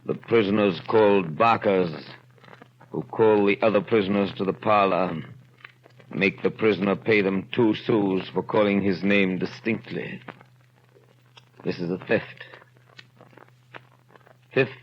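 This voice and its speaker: An actor playing a rigid, methodical police inspector in a 1930s radio drama, formally and deliberately dictating a report. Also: male voice, on the low side, fairly even intonation. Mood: formal, grave. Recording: muffled, noisy 1930s radio recording.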